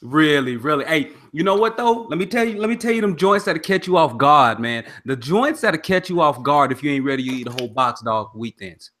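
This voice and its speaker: A man in his thirties from Oklahoma hosting a casual podcast in a natural baritone, slangy and animated, laughing often. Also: a little fast, few pauses. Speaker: podcast host.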